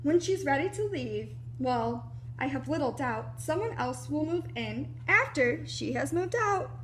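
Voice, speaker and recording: High-voiced woman reading to young children. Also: a little slow, slight background noise. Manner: animated, explanatory, speaking to young children. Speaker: preschool teacher reading a story aloud. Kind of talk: children's picture book read-aloud with asides.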